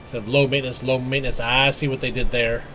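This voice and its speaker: A man's voice: mid-range voice, flat delivery, fast, noisy background. A man giving casual live commentary as he plays a game, easygoing, thinking aloud in fragments and reading out on-screen text. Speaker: game streamer playing and commenting. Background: video game music.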